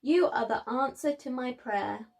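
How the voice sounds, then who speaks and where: Small gentle girl for picture-book reading, played by a woman, high-voiced, earnest, slow. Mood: grateful.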